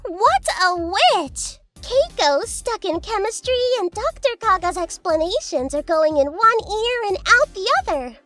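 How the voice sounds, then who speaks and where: Super high cartoon narrator, theatrical and mock pitying, music underneath. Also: female voice, very animated, unhurried, hardly any pauses, fairly bright tone, exaggerated voice. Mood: wry, teasing. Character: teasing, mock-pitying female storybook narrator of anime comedy. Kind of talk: scripted comedic narration.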